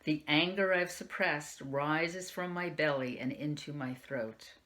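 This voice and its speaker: A woman's voice: low-pitched, a little slow, fairly bright tone, poor audio. A woman librarian reading a young adult novel aloud in the first person, lifting her voice for the quoted lines. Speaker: youth services librarian.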